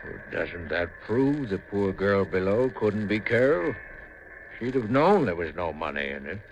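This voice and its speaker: An actor in a 1950s radio drama playing a steady, practical older country doctor with a fatherly, folksy manner. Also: lowish voice, measured pace, highs cut off. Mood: puzzled, questioning. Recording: noisy vintage 1950 radio recording.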